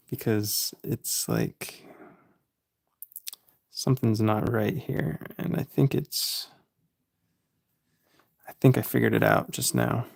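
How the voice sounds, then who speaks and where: Slow, gravelly man muttering while drawing. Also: on the low side, huge pitch swings, darkish tone, dry recording, studio quality. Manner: mutters self-critical asides to himself, sometimes whispering; greets and answers viewers' chat. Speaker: artist live-streaming a drawing session. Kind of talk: casual running commentary while drawing.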